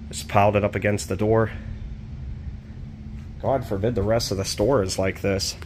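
A man's voice: lowish voice, steady tone, normal speed, darkish tone, slight background noise. A man walking around an abandoned store outdoors, filming on a handheld phone and commenting casually as he goes, rambling and thinking aloud with fillers. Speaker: urban exploring vlogger. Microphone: handheld phone camera.

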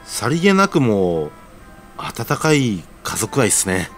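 An actor plays a casual young man in a radio play, swinging lively in pitch. His talk is slangy and cheeky, like a junior among friends, over faint music. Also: slow pace, full character voice. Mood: curious. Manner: slangy junior-style politeness. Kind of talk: radio sound-drama dialogue.

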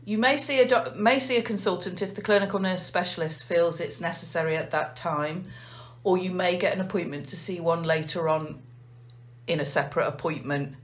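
An English woman, a nurse manager, calmly explaining a heart failure clinic in an interview. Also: fairly low pitch. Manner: measured, methodical explaining.

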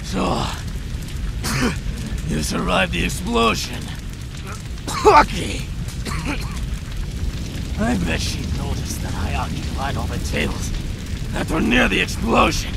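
Game mod villain: furious monstrous ex, high strained gravelly shouting, menacing, over music. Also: male voice, sing-song, full character voice. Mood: bitter, strained. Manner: seething, menacing, halting. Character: vengeful, furious horned monstrous ex-boyfriend villain, coughing amid smoke. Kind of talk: video game mod voice acting.

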